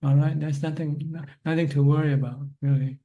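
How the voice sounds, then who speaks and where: A man from Thailand, a Buddhist monk, giving calm, plain spiritual advice over a video call. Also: a little high, brisk, narrow, tinny audio. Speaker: Buddhist monk answering questions.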